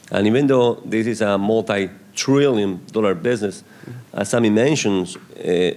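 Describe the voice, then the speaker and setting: A middle-aged man from Colombia with a Colombian accent, speaking into a handheld mic on a conference panel stage, explaining in a measured way. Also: on the low side, a little mellow. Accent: Colombian Spanish. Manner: measured, explanatory, restarts phrases. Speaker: venture investor panelist. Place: large conference hall stage. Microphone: handheld microphone.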